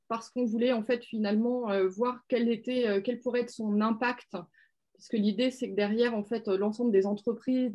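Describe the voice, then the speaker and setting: Woman color expert talking on a webinar, warm mellow voice, lots of euhs. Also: medium pitch. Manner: explanatory, many fillers. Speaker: color strategy expert, guest. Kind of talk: webinar presentation.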